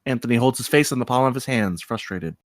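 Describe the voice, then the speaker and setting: A man's voice: medium pitch, fast talker, no echo, studio quality. A man reading out stage directions as the narrator of a screenplay table read.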